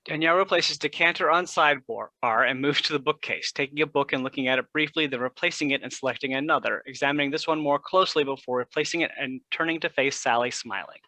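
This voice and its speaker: A man's voice: medium pitch, quick pace, few pauses, sharp tone, no room sound, poor audio. A man reading a play's stage directions as scripted narration.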